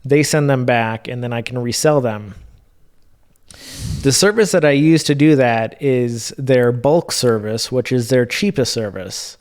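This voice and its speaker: A man's voice: medium pitch, unhurried, darkish tone. A man co-hosting a podcast, telling stories in a casual, informal way with some mild swearing. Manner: informal, mildly profane. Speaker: podcast co-host. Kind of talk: casual chat, storytelling.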